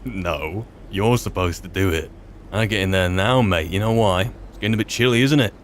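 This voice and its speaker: A man with a fairly low voice, roleplaying casually as he plays a video game. He mutters wryly to himself and to the characters, with faint ambience behind him. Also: moderate pace, a little hiss or hum. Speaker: gamer playing a character. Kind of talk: casual in-character roleplay commentary over gameplay.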